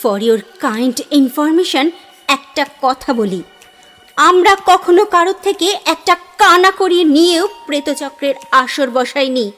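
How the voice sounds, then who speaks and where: A woman's voice: high voice, fairly bright tone. An actress playing an indignant, protective young woman, curt, haughty and emphatic, in an audio drama. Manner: curt, haughty, emphatic, mixing in English phrases.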